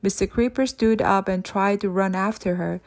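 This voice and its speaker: A woman narrates a children's story with expressive delivery. Her voice has a medium pitch, and she reads in a scripted audiobook style. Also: normal speed.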